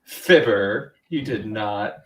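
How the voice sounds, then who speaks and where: A woman's voice: extremely low pitch, slow pace, a bit bright, exaggerated voice. Woman doing a proper young victorian schoolteacher, easily shocked, takes her time, comedy radio play, slight hiss. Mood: scandalised. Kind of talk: comic amateur radio play table read.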